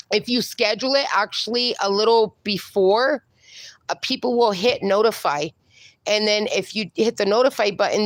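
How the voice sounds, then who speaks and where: A woman's voice: medium pitch, dry recording. A woman hosting a casual livestream, reading viewer comments aloud and answering them in a chatty, reassuring way. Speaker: livestream host.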